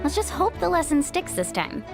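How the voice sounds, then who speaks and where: A bright, lively young woman who cheers her friends on, her voice rising and falling freely. Faint game music sits behind. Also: high-pitched, moderate pace. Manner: reassuring, upbeat. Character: confident young woman, warm encouraging friend.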